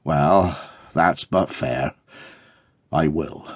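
Man playing a grave, wounded working man, husky. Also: a bass voice, drawn-out words, dry recording, clean studio sound, pitched below the performer's own voice, full character voice. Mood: grave, resigned. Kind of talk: dramatic storybook character reading.

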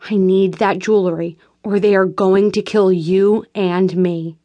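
A woman reading an audiobook speaks the lines of a desperate, threatening man, slowly and grimly. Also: mid-range voice, fairly even intonation, warm tone, highs cut off, lightly acted.

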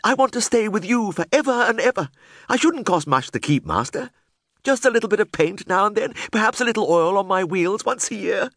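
A man's voice: sits high, lively intonation, a little fast, a bit bright, no room sound, clean studio sound, pitched above the performer's own voice, full character voice. A man voicing a timid, devoted little toy horse in a storybook, sobbing and pleading earnestly. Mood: tearful pleading.

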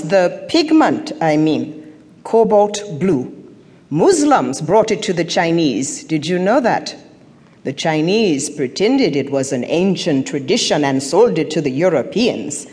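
An actress playing a haughty, sardonic middle-aged African mother of a dictator in an audio drama, speaking in measured, cutting phrases. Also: on the low side, a little mellow. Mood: cool probing. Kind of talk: audio drama stage acting. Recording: reverberant theatre-like hall.

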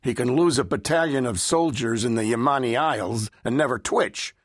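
An actor playing a gruff, irreverent sea captain with rustic, colloquial speech and a deadpan wit, in an audiobook. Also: male voice, medium pitch, moderate pace, no room sound, close to an everyday voice. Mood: dry teasing. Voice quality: gravelly, husky. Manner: rustic, colloquial grammar, dry teasing. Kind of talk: full-cast audiobook character acting.

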